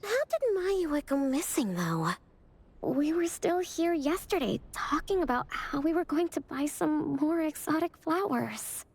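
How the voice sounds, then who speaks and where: A woman's voice: high-pitched, very animated, measured pace, heavily acted. A woman voicing a youthful, thoughtful schoolgirl in an anime game, talking a little hesitantly.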